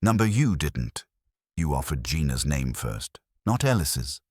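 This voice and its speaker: A man plays a coldly logical detective in a mystery audiobook, with an extremely low, husky voice. He speaks formally and accusingly, his pitch swinging with emphasis. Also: sing-song, a little slow, a little mellow, no room sound, clean studio sound, heavily acted. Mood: cold, incisive. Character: commanding, coldly logical male detective confronting suspects. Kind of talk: audiobook detective story dialogue.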